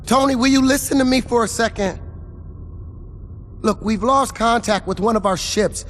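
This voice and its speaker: Urgent high-pitched military officer. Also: male voice, moderate pace, fairly bright tone, slight background noise. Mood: urgent, businesslike. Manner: urgent, businesslike. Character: earnest military officer, hero's worried loyal friend, adult man. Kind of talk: scripted video game acting.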